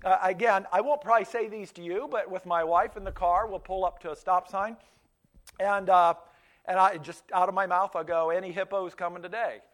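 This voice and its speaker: A man's voice: high voice, fairly even intonation, fairly quick, fairly bright tone. A man in his fifties whose first language was Pennsylvania Dutch, preaching a sermon in a conversational, storytelling way with frequent restarts and repeated words. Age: 50s. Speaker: pastor.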